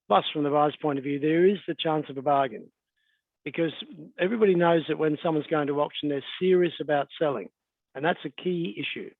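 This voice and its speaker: A middle-aged Australian man talks through a webinar in an easygoing, chatty way, his voice a little croaky from a cold. He sits on the higher side for a man. The sound is thin and phone-like, dry, as from a video call. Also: dry recording. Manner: relaxed, conversational, frequent fillers and throat clearing. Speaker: real estate agent and auctioneer. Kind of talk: webinar presentation.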